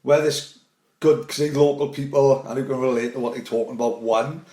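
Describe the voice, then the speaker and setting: A man's voice: medium pitch, steady tone, a little fast, darkish tone. A Welsh man, a podcast guest, trading blunt sweary banter in casual chat. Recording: echoey room.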